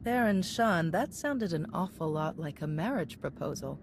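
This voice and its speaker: Low-toned, resolute commander. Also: female voice, expressive, moderate pace, slight background noise. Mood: teasing, affectionate. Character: resolute, forgiving female commander of a galactic alliance. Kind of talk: video game cutscene acting.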